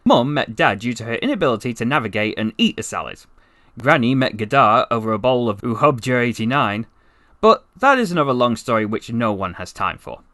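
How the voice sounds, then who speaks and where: Male actor as wry, lovestruck half-alien storyteller: bright, very animated, gushing asides, comic audiobook read, close dry sound. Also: mid-range voice, fairly quick, dry recording. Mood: chatty, wry. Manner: conversational, rambling asides, gushing. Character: chatty, besotted, wry half-alien man from Dorset. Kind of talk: first-person comic story narration, voice acting practice.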